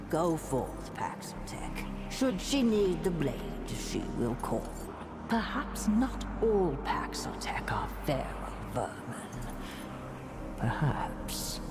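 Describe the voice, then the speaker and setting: An imperious old alien empress rules this game scene in a very low, swooping voice. Her speech is formal, haughty and regal, set over loud music. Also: exaggerated voice. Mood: disdainful. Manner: slow, formal, regal delivery. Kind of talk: video game cutscene acting.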